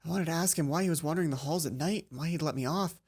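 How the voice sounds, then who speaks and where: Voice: medium pitch, very fast, breathy edge. A let's player reading visual novel narration aloud, stumbling and rereading lines, with casual asides. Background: soft game music.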